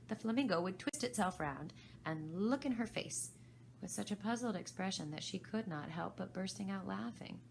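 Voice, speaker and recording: A woman's voice: on the low side, gravelly, a little hiss or hum, poor audio. A woman reading a children's storybook aloud as a volunteer narrator.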